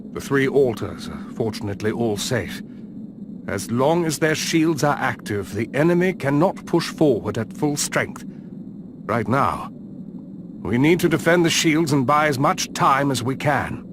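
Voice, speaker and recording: A man acting a formal, authoritative commander in a video game, delivering orders in a solemn, deliberate way. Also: medium pitch, lightly acted. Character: formal, authoritative male commander briefing his warriors. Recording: clear background noise and ambience.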